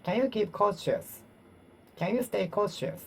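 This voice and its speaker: A woman's voice: mid-range voice, vocal fry, slight background noise. A woman with a Japanese accent practicing English alone, repeating phrases over and over and correcting herself as she goes. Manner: repeats and reworks phrases, pausing and self-correcting. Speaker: English learner. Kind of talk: solo speaking practice, talking to herself.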